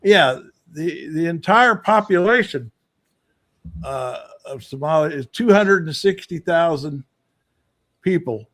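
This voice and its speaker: Halting higher-voiced male podcast host. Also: expressive, a bit bright. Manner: halting, many restarts and fillers. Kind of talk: casual panel chat.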